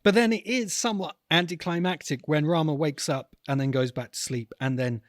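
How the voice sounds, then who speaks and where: A man hosting a podcast, giving reflective commentary in deliberate, emphatic phrases with pauses. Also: on the high side, no echo, clean studio sound. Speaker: podcast host. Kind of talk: reflective commentary on books and film.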